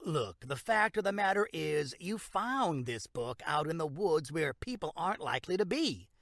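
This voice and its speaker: A man's voice: a little high, big pitch swings, normal speed, fairly bright tone, no room sound, clean studio sound. A man acting as a frank, condescending small-town sheriff in an audio drama. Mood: firm, dismissive. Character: dismissive, bureaucratic small-town male sheriff.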